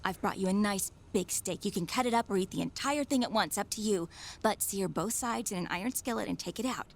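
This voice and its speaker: An actress playing a brisk, motherly visiting caregiver in a 1930s radio drama, reassuring and full of instructions. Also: medium pitch, vocal fry. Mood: brisk, kindly.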